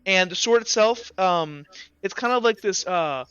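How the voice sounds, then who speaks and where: A man running a tabletop game as game master, improvising descriptions haltingly and repeating words as he searches for them. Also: high-pitched, expressive, fairly bright tone, clear, resonant tone, no echo, muffled recording. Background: music.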